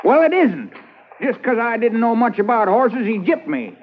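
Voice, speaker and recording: An actor playing an indignant settler who was cheated on a horse deal, in a 1950s radio drama. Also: male voice, very high voice, very animated, normal speed, slight background noise. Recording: tinny old radio transcription.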